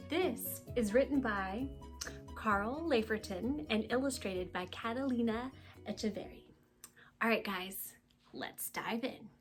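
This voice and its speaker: A woman reading a storybook aloud to young children, expressive and emphatic, with dramatic pauses and hushed phrase endings. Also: a little high.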